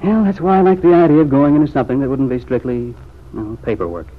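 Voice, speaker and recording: An actor playing a teasing, easygoing young American bachelor cousin in an old-time radio serial. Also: male voice, mid-range voice, fast talker, close to an everyday voice. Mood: earnest, musing. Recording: muffled, noisy vintage radio recording.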